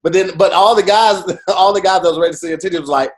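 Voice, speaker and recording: A man co-hosting a podcast, joking around in an animated, profane way. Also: high voice, fast talker. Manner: animated, profane, jokey storytelling with mocking quoted voices. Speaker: podcast co-host. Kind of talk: casual comedic chat.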